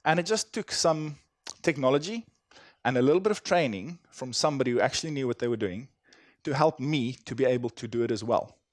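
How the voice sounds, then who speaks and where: A man's voice: mid-range voice, expressive, fairly quick, dry recording, studio quality. A man from South Africa with a South African accent, giving a conference talk in a chatty, self-deprecating way with many fillers and restarts. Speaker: conference speaker. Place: conference hall stage.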